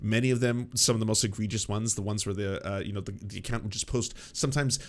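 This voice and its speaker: A man's voice: on the low side, fairly even intonation, fast. A man hosting a live stream, reacting to clips with sarcastic, rambling political commentary. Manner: sarcastic, mocking, rambling with fillers.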